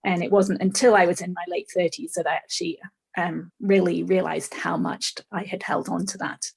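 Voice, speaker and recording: A woman's voice: lowish voice, level tone, moderate pace, vocal fry, husky. An older woman from England with a mid-Atlantic accent that still has some English in it. She tells her life story in a podcast interview over a video call, candid and reflective, with some hesitations. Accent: mid-Atlantic, slightly English. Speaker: guest. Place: small room with purple light. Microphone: video call, dark mic in front.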